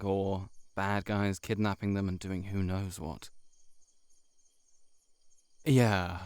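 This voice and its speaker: A man playing a shy, heartbroken friend in an intimate audio roleplay, confiding haltingly to the listener. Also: fairly low pitch, clear, resonant tone, no room sound, clean studio sound, lightly acted. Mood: uneasy, wry. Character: shapeshifting monster posing as a shy, heartbroken male friend.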